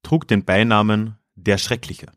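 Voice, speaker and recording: A man's voice: on the low side, steady tone, a little slow, fairly bright tone, no echo, clean studio sound. A man hosting a podcast quiz in a casual, chatty way, reading out clues and commenting on the answers. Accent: South Slavic-influenced pronunciation of foreign names. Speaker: quiz host.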